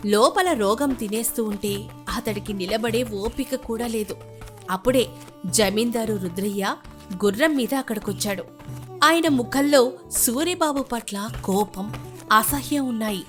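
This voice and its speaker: A woman's voice: a little high, measured pace, a bit bright. A woman giving scripted narration of a moral story, as the storyteller between scenes of dialogue. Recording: music behind the narration.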